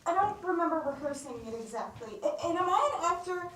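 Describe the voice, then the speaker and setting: Very high, hesitant, bewildered young woman playing a stage character. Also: very animated, quick pace, fairly bright tone, full character voice. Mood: puzzled, hesitant. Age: teens. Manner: hesitant, stammering, polite. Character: bewildered, apologetic accountant mistaken for an actor, called George. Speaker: student actor. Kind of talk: stage play acting. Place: theatre stage. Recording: distant, echoey stage recording with hiss.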